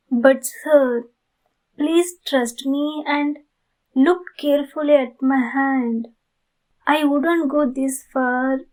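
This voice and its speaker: A woman playing a courteous, earnest female vampire in an ASMR roleplay, speaking formally to the listener with halting phrasing. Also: high voice, rough recording. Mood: pleading. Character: polite, pleading female vampire in need of blood.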